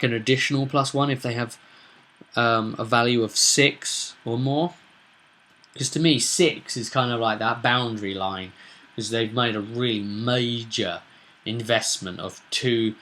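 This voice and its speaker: A man's voice: medium pitch, bright, forceful tone. A man giving casual, unscripted commentary as a game master, talking in a rambling, chatty way with emphatic bursts. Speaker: game master presenting own session recap.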